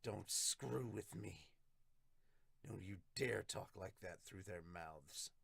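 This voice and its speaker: Weary knight captain played by a man, lowish, blunt, sardonic, amateur manga dub, dry recording. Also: slow, vocal fry, fairly bright tone, slightly rough, dry recording, heavily acted. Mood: defiant.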